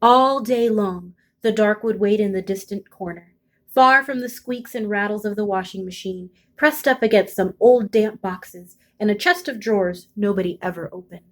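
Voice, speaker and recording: Female storybook reader, medium pitch, expressive and deliberate, building suspense for young listeners. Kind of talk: expressive children's picture book read-aloud.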